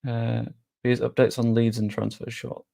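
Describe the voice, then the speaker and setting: Gravelly-voiced English streamer, easygoing chat. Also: male voice, mid-range voice, completely flat delivery, normal speed, mellow. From England. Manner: chatty and easygoing, with frequent fillers and British slang. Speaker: live streamer. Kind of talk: casual live stream chat, reading and answering viewer questions.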